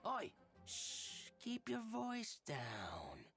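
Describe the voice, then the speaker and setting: Sly shady dealer. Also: male voice, fairly high pitch, wildly swooping pitch, drawn-out words, creaky, faint music behind, heavily acted. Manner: hushed, conspiratorial. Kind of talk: video game voice acting.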